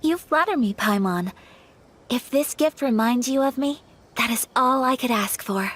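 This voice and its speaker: A woman voicing a composed young noblewoman in a video game, speaking in a formal, gentle and courteous way. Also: fairly high pitch, expressive, a little slow. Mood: gracious. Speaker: game character. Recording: game audio with clear music.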